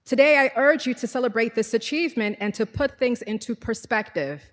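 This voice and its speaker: Trinidadian woman in her forties, somewhat high voice, speaking in a measured, exhorting way in a long-echo hall. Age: 40s. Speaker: commencement speaker, teacher. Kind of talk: formal commencement speech. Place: large hall.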